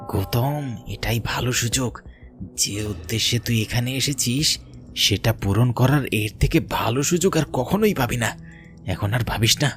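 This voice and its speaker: A man's voice: medium pitch, a little slow, fairly bright tone, faint music behind, close to an everyday voice. A man voicing the urging inner voice of a determined treasure seeker in a horror cartoon. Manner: coaxing, insistent.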